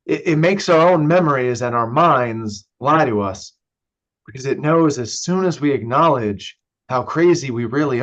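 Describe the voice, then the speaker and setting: A man playing a troubled young man struggling with alcohol, halting and raw, in a staged play reading. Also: mid-range voice, highs cut off, close to an everyday voice.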